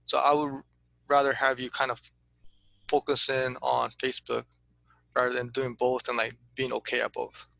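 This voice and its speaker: A man's voice: medium pitch, completely flat delivery, moderate pace, vocal fry, sharp tone, dry recording, poor audio. A man coaching an insurance agent in a casual consulting chat, eager and full of restarts. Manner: eager, repeats words when starting, says "to be honest with you".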